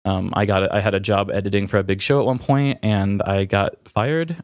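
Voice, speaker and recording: A man hosting a podcast, talking candidly and reflectively in a halting way, searching for words. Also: lowish voice, fairly even intonation. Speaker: podcast host. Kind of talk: candid, reflective personal conversation.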